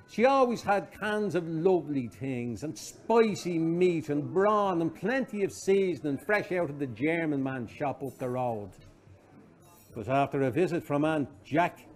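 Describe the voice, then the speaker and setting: Animated Dublin man reading a story. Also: a little high. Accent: Dublin Irish. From Dublin, Ireland. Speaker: reader of a short story. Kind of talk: expressive literary reading, storytelling. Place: small wood-panelled pub snug, indoors.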